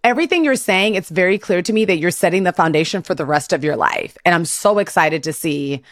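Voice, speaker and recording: A woman's voice: on the low side, expressive, a little fast, dry recording. Encouraging woman host, close dry sound. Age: 30s. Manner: affirming, encouraging, reflective. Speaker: interview host. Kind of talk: casual supportive interview chat.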